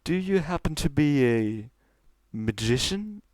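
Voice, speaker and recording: A courteous older stallion mentor from a pony storybook, voiced by a man reading aloud. He speaks formally and slowly, like a retired magician offering lessons, in a dry close sound. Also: a little high, animated, darkish tone, dry recording, voice pushed up. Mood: polite.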